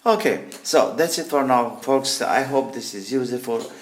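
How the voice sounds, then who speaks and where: A man hosting a gadget teardown, giving a casual, unscripted demonstration and thinking aloud in halting, short phrases. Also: medium pitch, a little hiss or hum. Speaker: host of a gadget teardown video.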